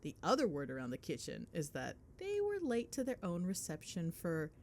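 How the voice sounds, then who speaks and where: A woman's voice: fairly low pitch, huge pitch swings, normal speed, darkish tone. A woman playing a chatty, gossipy girlfriend in a casual ASMR phone-call roleplay, with playful, sweary, dramatic griping. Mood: amused gossip. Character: chatty, gossipy girlfriend venting on the drive home.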